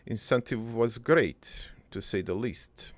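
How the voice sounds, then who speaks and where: A man voicing a middle-aged, foreign-born cartoon father who rambles through his life story in a deadpan, halting, improvised monologue with a gravelly voice. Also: mid-range voice. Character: rambling, crude, wistful foreign-born immigrant father named Joey.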